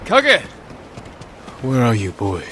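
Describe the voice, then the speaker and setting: An actor playing a stoic samurai in a video game, grave, terse and measured. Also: male voice, medium pitch, wildly swooping pitch, noisy background. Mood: urgent calling. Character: stoic, solemn samurai warrior, devoted to his horse. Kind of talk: video game cutscene acting.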